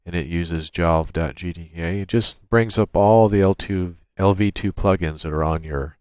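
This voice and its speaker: Male tech tutorial narrator, deep register, plain practical delivery, unscripted walkthrough, dry room sound. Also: normal speed, smooth, no echo. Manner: matter-of-fact, step-by-step explaining. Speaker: tutorial presenter. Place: indoors at a computer, screen-recording voice-over.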